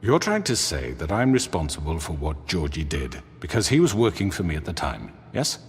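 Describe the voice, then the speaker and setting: An actor playing a smooth-talking crime boss in a video game, speaking with theatrical, mock-polite oratory. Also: male voice, rumbling low, very animated, normal speed, exaggerated voice. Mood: sly, needling. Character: smooth-talking male crime boss defending himself before townsfolk. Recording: echoey hall reverb.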